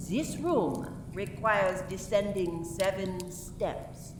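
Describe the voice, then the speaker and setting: A woman playing a formal, methodical French police inspector in a radio play, questioning deliberately and dropping in French phrases. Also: on the high side. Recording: echoey room from far off, faint noise.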